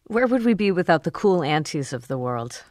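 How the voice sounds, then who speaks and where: A woman hosting a radio show, reading her intro and asking interview questions with polished, measured delivery. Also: fairly low pitch, sing-song, darkish tone, dry recording, clean studio sound.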